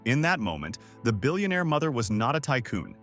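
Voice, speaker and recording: A man reading a scripted, dramatic story in a measured storytelling manner. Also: mid-range voice, sing-song, a bit bright, faint music behind. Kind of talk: scripted narration of a dramatic folktale-style story.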